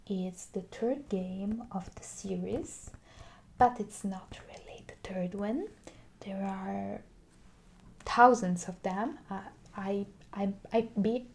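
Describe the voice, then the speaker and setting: A woman doing a soft-spoken ASMR roleplay as a video game shop clerk, slipping into whispers, with a hesitant, halting delivery. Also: mid-range voice.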